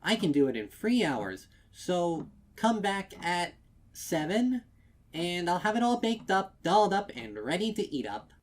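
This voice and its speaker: A man's voice: high voice, sing-song, a little slow, pitched above the performer's own voice. A man voicing an eager young female cartoon pony baker, bubbly and over-eager to please, in a storybook reading.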